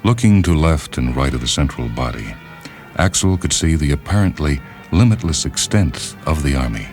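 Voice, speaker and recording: A man reading literary fiction as a narrator, in a measured, deliberate and solemn dramatic style. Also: rumbling low, lively intonation, darkish tone. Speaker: narrator, short story reader. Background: background music.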